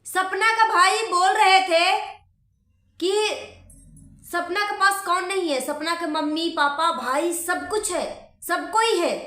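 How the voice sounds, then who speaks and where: Very high-pitched woman on a scolding rant. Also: takes it slowly, pushed and bright. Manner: scolding, indignant, emphatic repetition. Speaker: vlogger commenting on a social media dispute. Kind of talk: impassioned direct-address rant. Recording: echoey room.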